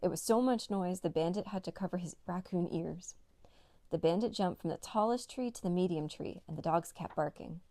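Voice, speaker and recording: A woman's voice: lowish voice, moderate pace, warm tone, no room sound. A woman narrating a children's read-along story as a storyteller.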